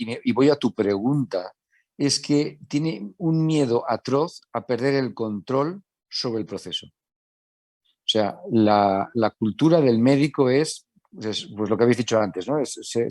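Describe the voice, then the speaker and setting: A man's voice: medium pitch, darkish tone, dry recording. A middle-aged man with an Italian accent with some Castilian Spanish in it, speaking on a panel as a medical director, talking conversationally with many restarts. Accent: Italian, with a Spanish Castilian touch. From Italy. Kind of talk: panel discussion.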